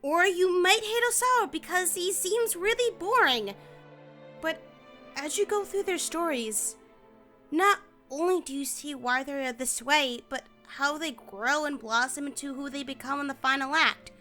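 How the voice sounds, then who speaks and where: A young American woman with a high, bright voice reviews a video game. She is emphatic and sarcastic, swearing casually as she reads her script, with light music behind her. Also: unhurried. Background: background music.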